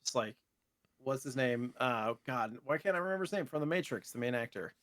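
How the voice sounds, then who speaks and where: A man in his thirties co-hosting a casual gaming chat podcast, talking in a halting way with trailing asides. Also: medium pitch, lively intonation, fairly bright tone, dry recording. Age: 30s. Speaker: podcast co-host.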